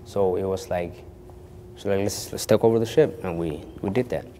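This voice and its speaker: Young man with a mellow low voice. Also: normal speed, long reverb tail, slight background noise. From born in Kenya of a Somali family. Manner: rambling, many restarts and "you know". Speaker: actor interviewed. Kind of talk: casual storytelling interview.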